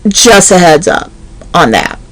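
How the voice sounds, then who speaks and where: Woman, channel host, slow unhurried delivery, rambling, nervous, lots of ums. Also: fairly low pitch, sing-song, no echo. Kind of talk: casual spoken intro to an audio roleplay.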